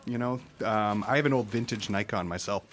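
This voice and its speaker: A man's voice: on the low side, fairly quick, natural voice. An actor playing a guarded but polite American man in an audio drama, talking in a reserved, casual way. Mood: friendly, awkward. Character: guarded, polite working father meeting a podcaster. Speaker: interviewee character. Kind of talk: naturalistic audio drama dialogue.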